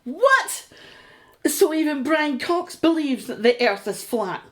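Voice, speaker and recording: A practical, sceptical middle-aged British wife in a radio drama. Her voice is high and very animated, full of exasperation and sarcasm. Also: slow, exaggerated voice. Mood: sarcastic, exasperated. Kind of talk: radio play acting.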